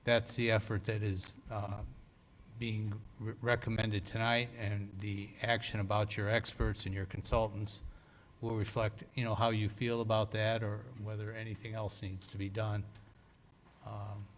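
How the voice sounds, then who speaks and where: An American man speaking as a town attorney, giving a measured, formal, lawyerly explanation at a council meeting. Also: on the low side, flat delivery, a little hiss or hum.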